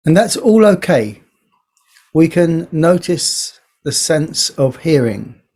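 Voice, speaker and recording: A man leading a guided body scan meditation as a teacher, speaking gently in short phrases with unhurried pauses. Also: a little high, sing-song.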